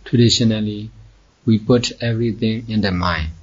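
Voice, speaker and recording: A man teaching guided meditation slowly, in measured short phrases with long pauses. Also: on the low side, fairly even intonation, husky. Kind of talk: slow guided meditation instruction. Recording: dull, muffled lo-fi recording.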